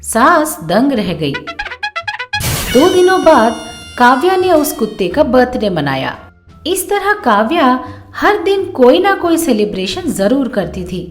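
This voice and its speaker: A woman's voice: high voice, sing-song, slow pace, roomy sound, faint music behind. A woman storyteller reading scripted narration for a moral tale.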